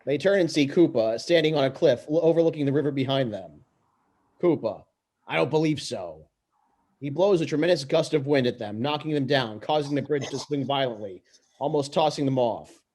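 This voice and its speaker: A man reading stage directions aloud in an amateur script read-through, halting and tripping over words. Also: mid-range voice, a little mellow.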